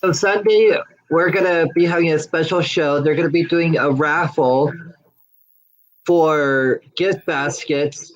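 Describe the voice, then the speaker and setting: A man joining by video call from a small room at home to make a relaxed announcement. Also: a little high, a little slow, rough recording. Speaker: guest making an announcement. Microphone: webcam on video call.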